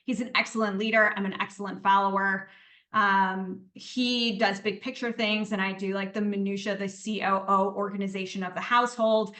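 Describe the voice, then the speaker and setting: A woman's voice: mid-range voice, level tone, normal speed, a bit bright, reverberant. A woman, a podcast guest, talking at length in a casual interview. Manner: talkative, explains at length.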